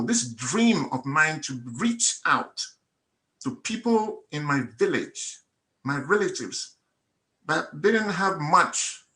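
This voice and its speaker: Guy, middle-aged, bright voice, earnest testimony, hammers the same phrase over and over. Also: sits high. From Nigeria. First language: Igbo. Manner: earnest, emphatic, repeats phrases for effect. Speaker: testimonial speaker. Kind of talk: personal testimony at a meetup.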